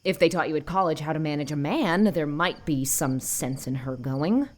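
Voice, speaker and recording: A woman's voice: low-pitched, normal speed. A woman playing a stiff, opinionated married village gossip, disapproving and emphatic, in an audiobook. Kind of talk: audiobook character acting.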